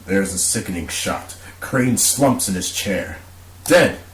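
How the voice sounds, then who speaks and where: Low-voiced man narrating a drama, echoey. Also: lively intonation, slow, a bit bright. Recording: roomy echo, faint hiss.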